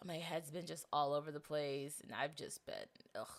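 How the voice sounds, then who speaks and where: A young woman in her twenties hosting a casual solo podcast, chatting and rambling with animated asides, a little congested. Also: low voice, normal speed. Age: 20s. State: slight congestion. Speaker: podcast host.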